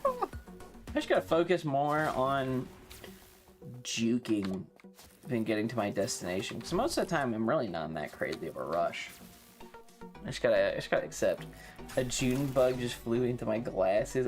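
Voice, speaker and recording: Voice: medium pitch, very animated, moderate pace, pauses often, faint music behind. A streamer with an androgynous-sounding voice, chatting casually during a live game in a slangy, sweary, self-mocking way.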